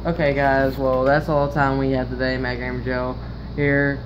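A young man hosts a casual gameplay video in a medium-pitched voice. He comments in an exclamatory, teasing way. The handheld amateur recording carries a clear layer of background noise. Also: level tone, normal speed, highs cut off. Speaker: video host. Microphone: handheld phone or camera. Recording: noisy handheld amateur recording, constant hum.

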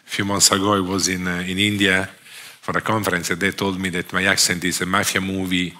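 A middle-aged man from Italy with a strong Italian accent, giving an academic lecture on a theatre stage, halting often with fillers and restarts. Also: low-pitched, little pitch movement, pushed and bright. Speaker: guest lecturer. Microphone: headset.

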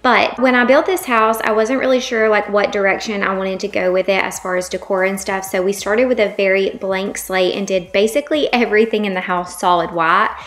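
A woman's voice: mid-range voice, steady tone, fairly quick, few pauses, fairly bright tone. Chatty woman vlogger. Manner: chatty, rambling, many fillers. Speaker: home vlogger. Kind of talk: casual voice-over narration.

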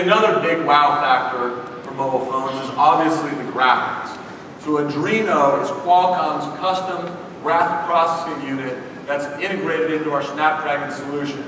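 A man on a conference stage giving a polished, promotional keynote presentation. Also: on the high side. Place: large conference hall stage. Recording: echoey hall from far off with noise.

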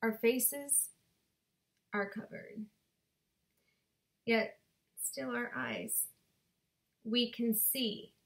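Woman slowly performing spoken word poetry. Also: fairly high pitch, steady tone. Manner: slow, deliberate rhythmic delivery with dramatic pauses. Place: bedroom at home. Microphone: webcam at desk distance.

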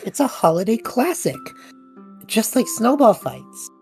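A woman voicing a cheerful, excitable and earnest young male student in an audio drama. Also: fairly high pitch, unhurried, soft background music. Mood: excited, festive.

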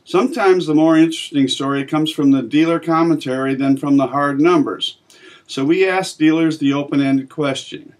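A man in his fifties, a magazine editor, reading a scripted commentary to camera in a measured, plain way from a small office. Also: mid-range voice. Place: small office with bookshelf, indoors.

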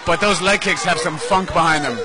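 A man calling a live fight play by play, excitable and repeating words for emphasis. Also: fairly high pitch, fairly quick, heavy background noise. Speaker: play-by-play commentator. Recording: loud crowd and music behind.

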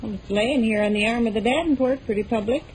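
An actress playing a thrifty, exasperated wife who scolds with folksy sarcasm in a 1940s radio comedy. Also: female voice, fairly high pitch, fast talker, darkish tone, natural voice. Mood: expostulating. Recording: noisy old 1940s radio broadcast.

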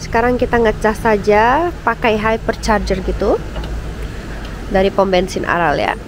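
A woman's voice: medium pitch, normal speed, noisy background. A woman travel vlogger chatting casually and upbeat to her viewers, dropping English words into her speech. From Indonesia. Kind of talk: casual travel vlog narration.

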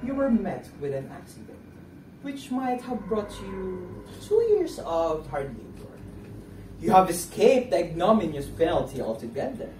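A man's voice: sits high, sing-song, unhurried, darkish tone. A man playing a cunning, bitter forger in a stage play, declaiming in a mocking way. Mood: shrewd, persuasive. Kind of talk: theatrical one-act stage play acting. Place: furnished indoor stage set, distant camera. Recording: echoey room, distant mic, background noise.

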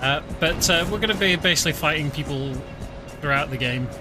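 A British man streaming a game, explaining it in a relaxed, filler-heavy casual commentary. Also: fairly high pitch, a little fast. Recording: game music behind.

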